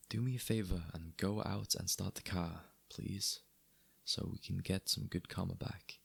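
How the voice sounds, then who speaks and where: Mafia boss for ASMR roleplay, male actor: wry and gentlemanly, low-pitched and very husky, dry conversational delivery. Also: dry recording, exaggerated voice. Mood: exasperated. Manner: dry, conversational, sardonic, addressing listener as doll. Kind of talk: ASMR audio roleplay, intimate scripted acting.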